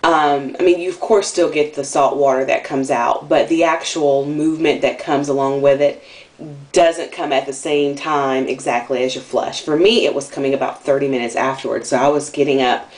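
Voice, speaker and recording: Low-voiced woman rambling casually on camera. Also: fairly even intonation, normal speed, darkish tone. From Atlanta area, US South. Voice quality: nasal. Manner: rambling, many ums and likes, candid. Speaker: vlogger. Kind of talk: casual video diary.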